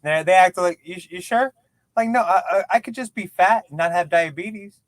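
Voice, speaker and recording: Man, late thirties, guest, fairly high voice, dry, joking, repeats words. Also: normal speed, no echo. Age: 30s. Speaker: podcast guest. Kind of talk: casual chat.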